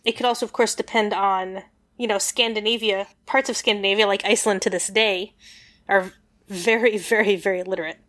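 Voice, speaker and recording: A woman's voice: mid-range voice, steady tone, fairly quick, fairly bright tone. A woman in a casual podcast chat, explaining history with lots of fillers and rambling asides.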